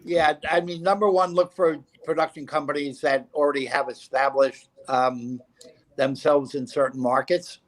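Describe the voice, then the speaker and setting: Higher-voiced man giving advice on a panel. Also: steady tone, normal speed. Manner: confident, advisory, with fillers. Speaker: panelist, film industry professional. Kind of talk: panel discussion answer.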